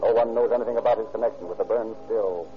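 A man giving measured, dramatic scripted narration for a vintage radio crime drama. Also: fairly low pitch. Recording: dull old radio recording with music.

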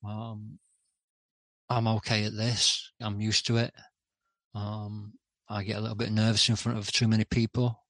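A middle-aged man speaking candidly as a podcast guest, hesitant with many pauses and restarts. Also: medium pitch, fairly bright tone, dry recording. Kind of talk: candid interview talk.

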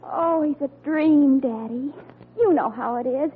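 Very high-voiced lovesick girl, old radio. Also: a little slow, a little hiss or hum. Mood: lovesick, forlorn. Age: young adult. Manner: wistful, melodramatic. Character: lovesick, mopey, earnest teenage daughter. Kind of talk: 1940s radio comedy acting. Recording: tinny vintage radio, studio audience behind.

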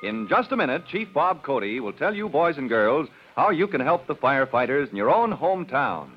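A male announcer narrates a children's radio drama dramatically and speaks directly to young listeners. His intonation rises and falls in lively swings. The old recording sounds dull and lo-fi. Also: a little high, normal speed, breathy edge.

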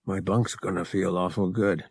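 A man's voice: low voice, fairly even intonation, moderate pace, a little mellow, breathy edge, no echo, studio quality, pitched below the performer's own voice, full character voice. A man voicing a casual, practical young smuggler pilot in an audiobook, slangy and wry, dropping word endings. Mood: weary. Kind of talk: audiobook character voice.

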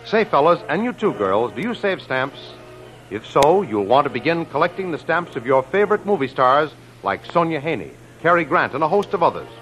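A man's voice: fairly high pitch, big pitch swings, fairly quick, a bit bright, low-quality recording. A man announcing and narrating in a formal, declamatory 1930s radio style, reading from a script. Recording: old radio recording with music behind.

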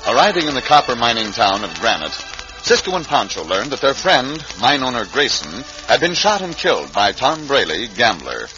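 Animated male radio serial narrator. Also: medium pitch, slight background noise. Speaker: announcer and narrator. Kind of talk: dramatic scripted old-time radio narration. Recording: muffled old radio broadcast. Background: dramatic music.

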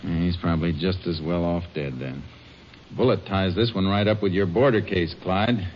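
An actor playing a shrewd, steady American Texas Ranger in a 1950s western radio drama, terse and matter-of-fact. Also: male voice, sits low, moderate pace, close to an everyday voice. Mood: matter-of-fact, reasoning. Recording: muffled, noisy old-time radio recording.

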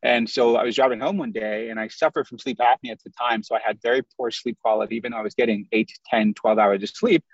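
A man's voice: mid-range voice, fairly even intonation, quick pace, hardly any pauses, no echo. Man in his thirties on a lo-fi call. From US Midwest. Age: 30s. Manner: candid, storytelling, lists things in runs. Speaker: guest. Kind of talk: casual interview over a video call. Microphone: video call. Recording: dull lo-fi video call audio.

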